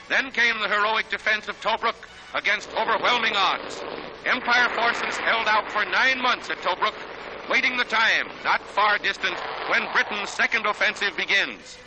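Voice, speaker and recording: A man's voice: high-pitched, low-quality recording. An American man narrating a 1940s newsreel in a dramatic, declamatory manner. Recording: 1940s newsreel soundtrack with loud music.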